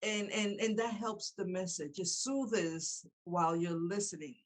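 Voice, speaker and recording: A woman's voice: on the low side, unhurried. Host, middle-aged woman, Spanish accent, native Spanish speaker, chatty and affirming. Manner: chatty, warm-hearted affirmations, frequent laughter. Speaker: interview host. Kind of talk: casual interview chat.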